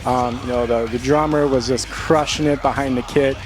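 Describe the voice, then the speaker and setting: A man of average pitch interviews a musician in a casual way. His questions run long and wind around, full of "you know" and "uh". He is recorded at a music venue. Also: fairly even intonation, moderate pace. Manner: long, winding questions with fillers.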